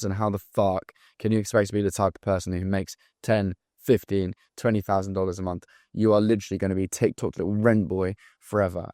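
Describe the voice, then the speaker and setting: A man in his twenties from England with a southern English accent, talking straight to camera as a sales coach in a blunt, profane, hyped-up motivational pitch. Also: darkish tone, no echo, clean studio sound. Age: 20s. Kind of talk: direct-to-camera pitch video.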